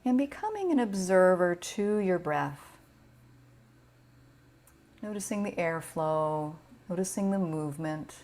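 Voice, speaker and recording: A woman teaching yoga nidra, guiding a relaxation meditation in a gentle, soothing, unhurried way with pauses. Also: fairly low pitch, lively intonation.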